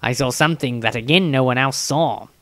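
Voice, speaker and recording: A man's voice: medium pitch, brisk, sharp tone, dry recording, clean studio sound. A man voicing a conceited male scholar of magic, pompously reading from his storybook diary. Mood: suspicious, self-important.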